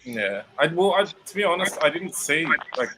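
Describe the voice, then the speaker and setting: A man from Sydney with a crisp Australian accent, chatting casually as host of a livestream. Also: on the high side, sing-song, normal speed, noisy background. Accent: Sydney Australian, crisp enunciated. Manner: chatty, self-correcting, enunciates clearly. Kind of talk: casual live chat.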